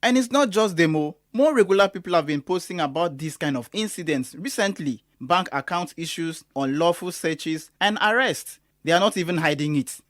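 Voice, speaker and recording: A woman's voice: lowish voice, lively intonation, moderate pace, few pauses, no echo, studio quality, lightly acted. An actress with a Nigerian accent playing a scornful, indignant activist woman in a conversational radio drama, emphatic and sardonic.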